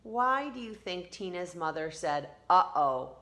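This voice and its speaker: A woman teacher reading a storybook aloud to children, expressively, pausing to put questions to them. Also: lowish voice. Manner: expressive, questioning the young listeners.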